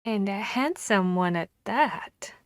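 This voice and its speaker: A woman voicing a harpy seeking a human mate, commanding yet courteous and bashful, speaking formally and deliberately with hesitant pauses in an ASMR roleplay. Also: mid-range voice, expressive, dry recording, studio quality. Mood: commanding, teasing.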